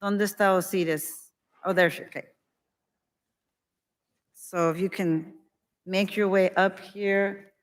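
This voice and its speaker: A woman's voice: mid-range voice, fairly even intonation, takes it slowly, long pauses. A woman emceeing a conference, making loose, chatty announcements and introducing a speaker. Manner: chatty, loose, with many fillers. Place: conference hall. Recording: long hall reverb.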